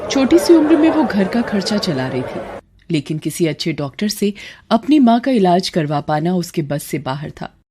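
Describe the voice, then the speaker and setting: Mellow-voiced woman storyteller. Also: fairly low pitch, sing-song, moderate pace, a faint crowd, slight background noise. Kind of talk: scripted story narration.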